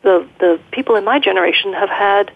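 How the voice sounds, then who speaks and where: A middle-aged woman speaking as an interview guest, reflective and measured, over a narrow phone-like line. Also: medium pitch. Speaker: guest, leadership coach. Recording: muffled, band-limited phone-like line.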